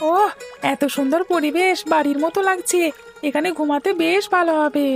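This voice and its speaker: A woman's voice: very high voice, steady tone, normal speed, vocal fry, soft background music. A woman voicing an eager child, an older sibling in a cartoon story, in a childlike manner. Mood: cheerful. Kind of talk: Bengali cartoon voice acting.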